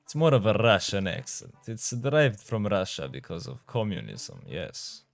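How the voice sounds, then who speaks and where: A man's voice: lowish voice, huge pitch swings, normal speed, creaky, soft background music. A young man from Romania with a Romanian accent, chatting casually with his live-stream audience while playing and tripping over his words now and then. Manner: chatty, reads chat aloud, trips over his words. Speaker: game streamer.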